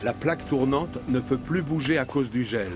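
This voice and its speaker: A man's voice: medium pitch, normal speed, music in the background. A man narrating a scripted children's story.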